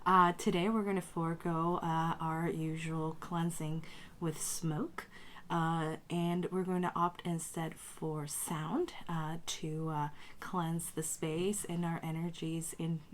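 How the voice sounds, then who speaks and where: Female tarot host, slightly low register, voice strained from a recent cold, hesitant phrasing, some background noise. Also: level tone, slow. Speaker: tarot reader and channel host. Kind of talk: casual spiritual talk to camera.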